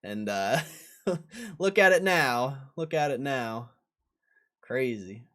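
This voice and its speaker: Man, mid-pitched voice, livestream host, casual slangy chat, repeats phrases, talks to viewers. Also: expressive, takes it slowly, fairly bright tone.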